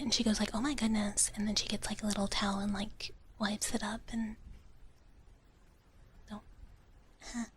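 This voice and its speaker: High-pitched woman whispering softly for ASMR. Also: slight background noise. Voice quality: soft, breathy whisper. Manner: rambling, playful, intimate. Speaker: ASMR livestreamer. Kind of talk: ASMR, casual chat with viewers. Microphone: close ASMR microphone.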